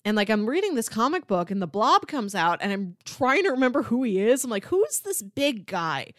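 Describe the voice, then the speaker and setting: A woman with a slightly high, very animated voice chats casually as a podcast co-host. Her pitch moves around freely, and the sound is dry and close. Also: fairly quick, no echo. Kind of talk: casual podcast chat about pop culture.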